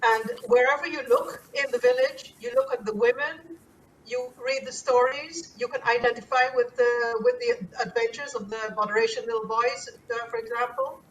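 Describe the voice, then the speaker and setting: A woman from Guyana talks about her work in an unhurried, reflective way, full of small hesitations. Her voice sits somewhat high. She sounds set back from the mic, with a faint hum of ambient noise behind her. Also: steady tone, a bit bright, far from the mic, poor audio. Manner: thoughtful, hesitant with many fillers. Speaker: author being interviewed. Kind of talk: conversational interview answers. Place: abroad in the Netherlands.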